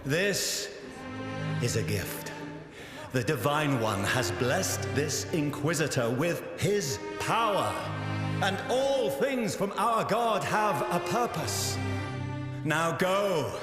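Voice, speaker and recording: An actor playing an imperious, cruel ruler who commands holy inquisitors in a fantasy game, with a grandiose and menacing manner. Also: male voice, on the high side, big pitch swings, slow pace, over music. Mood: grand, proclaiming. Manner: grandiose, theatrical in proclamation, menacing in private. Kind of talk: dramatic fantasy game acting.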